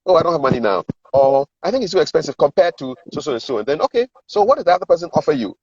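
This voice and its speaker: A man's voice: a little high, sing-song, racing, darkish tone, breathy edge. A middle-aged man with a Ghanaian accent, explaining things as a coach in a conversational interview and acting out sample dialogue. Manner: explanatory, acts out sample dialogue, repeats words for emphasis. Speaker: communications and sales coach giving advice. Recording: roomy echo, dull lo-fi sound.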